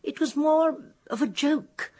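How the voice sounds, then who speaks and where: An actress playing a shrewd, composed elderly English spinster detective in a radio drama, with a gentle, breathy voice. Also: female voice, sits high, a little slow. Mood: gentle, assured. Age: older.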